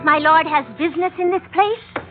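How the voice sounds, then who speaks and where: A tinny vintage radio recording has a young woman with a very high voice. She is proud and devoted, speaking in stiff, formal, old-fashioned phrases as the native heroine of an exotic adventure serial. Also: normal speed, music in the background. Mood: proud, probing. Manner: formal, stilted, archaic phrasing. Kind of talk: old-time radio drama acting.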